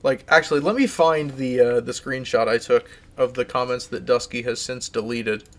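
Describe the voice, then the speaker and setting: A man's voice: medium pitch, steady tone, normal speed, a bit bright. A man streaming live, casually chatting and reading out viewer comments, rambling and restarting his sentences.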